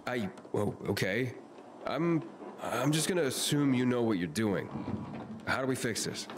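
A man's voice: medium pitch, expressive, a little slow, close to an everyday voice. A man voicing a wry, sceptical young adventurer in a video game, with a casual, dry delivery. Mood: puzzled. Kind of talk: video game cutscene acting. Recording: clearly noisy game ambience behind.

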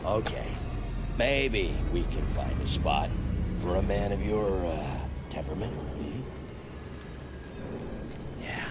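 An actor playing a flamboyant, manic race boss in a video game, theatrical and wheedling, swinging from sly muttering to shrieks. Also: male voice, low voice, expressive, slow pace, long pauses. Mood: sly, bargaining. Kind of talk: video game character acting. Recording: music playing behind the voice.

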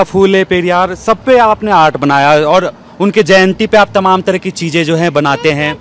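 A young man interviewing guests outdoors, asking long, deliberate questions with pauses, holding a handheld mic. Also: high-pitched. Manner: slow, deliberate, with many pauses and fillers. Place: outdoors in an open courtyard. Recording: faint outdoor street noise.

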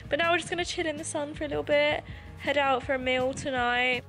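A woman's voice: high-pitched, steady tone, fast, music in the background. A woman in her twenties chatting informally about her holiday for a vlog. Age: 20s. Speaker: vlogger. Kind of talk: casual holiday vlog chat.

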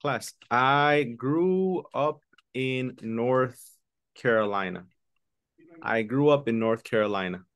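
A man teaching an online English lesson, switching between English and Spanish and repeating key words slowly for his students. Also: medium pitch, fairly bright tone, clear, resonant tone, no room sound. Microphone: video call webcam.

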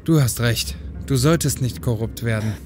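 A male actor as an eager younger brother in a radio play. He is a young man with a mid-pitched voice, casual and curious, with music underneath. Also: normal speed, a little mellow, close to an everyday voice. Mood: earnest. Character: admiring, grateful younger brother of the policewoman. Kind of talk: German audio drama acting.

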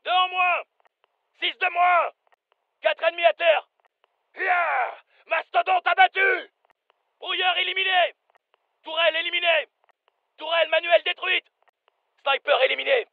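An actor playing a brisk special forces soldier, giving clipped, commanding combat callouts for a dubbed military game. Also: male voice, extremely high pitch, steady tone, vocal fry, no room sound, narrow, tinny audio, heavily acted. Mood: urgent.

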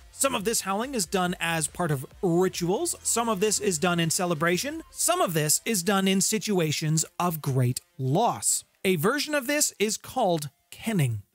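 A man's voice: high-pitched, fairly bright tone. Man explaining emphatically over background music. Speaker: host of a tabletop game lore channel. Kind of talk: explainer to camera, semi-scripted narration. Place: small home music room, indoors. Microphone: close studio condenser microphone with shield. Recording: music playing under the voice.

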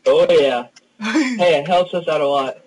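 A young man co-playing a console game with his friend, quick and directing, exclaiming at finds, heard from off-mic in the room. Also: fairly high pitch, lively intonation, distant mic, a little hiss or hum, rough recording. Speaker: co-player. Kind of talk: casual gaming chat.

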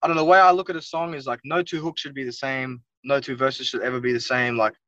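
A young man talks loosely and at length, leaning on filler words as he rambles. His voice comes through a dull, low-fidelity video call. He sits back from the microphone, so he sounds a little distant. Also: fairly even intonation, quick pace, pushed and bright, no echo. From Wales. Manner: rambling, heavy use of "like" and "you know what I mean". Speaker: music producer guest. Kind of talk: casual interview chat. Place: inside a car. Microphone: phone or laptop camera on a video call.

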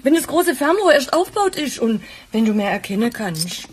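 Gentle, higher-voiced mother. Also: very animated, normal speed, slight background noise. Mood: tender, soothing. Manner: tender, coaxing. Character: gentle, patient mother and wife, soothing, mildly correcting. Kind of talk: German radio crime drama acting.

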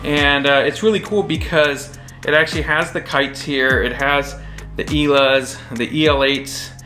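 An American man in his forties from Texas giving a casual, halting but enthusiastic product overview to camera. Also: a little high, steady tone, sharp tone. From Texas, United States. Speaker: audio shop presenter. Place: small indoor room, standing behind display table. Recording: roomy echo, faint music.

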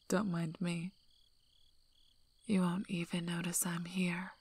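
A woman playing a tough, teasing, gruffly protective werewolf alpha in a soft-spoken, breathy ASMR roleplay, with dry delivery. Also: fairly low pitch, level tone, slow, full character voice. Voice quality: breathy, whispery, husky.

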